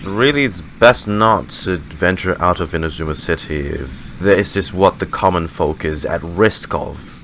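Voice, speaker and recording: A man's voice: low voice, measured pace, few pauses, fairly bright tone, a little hiss or hum. A man doing casual game reaction commentary, muttering asides and reading text aloud. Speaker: gamer reacting on stream. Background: game music.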